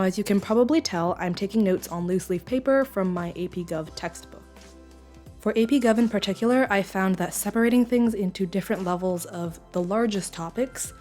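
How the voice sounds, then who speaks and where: A young woman with a slightly croaky voice from a cold, explaining her note-taking methods in a casual voice-over. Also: medium pitch, a little mellow. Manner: explanatory, rambling with fillers. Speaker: study vlogger. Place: lives in the San Francisco Bay Area.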